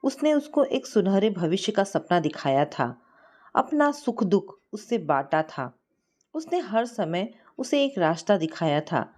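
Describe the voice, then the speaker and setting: A woman narrating a scripted story in an expressive, sentimental manner. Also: mid-range voice, unhurried.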